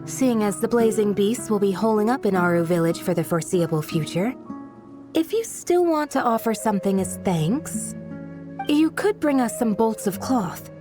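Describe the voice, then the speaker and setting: A woman playing a modest guardian of a desert village in a video game, speaking in a measured, polite and formal manner. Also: mid-range voice, animated, over music, close to an everyday voice. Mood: composed, sincere. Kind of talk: video game character dialogue.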